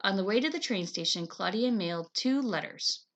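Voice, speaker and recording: A woman teacher reading a chapter book aloud to children in an expressive, dramatizing manner. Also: mid-range voice, moderate pace. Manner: animated, dramatizes dialogue.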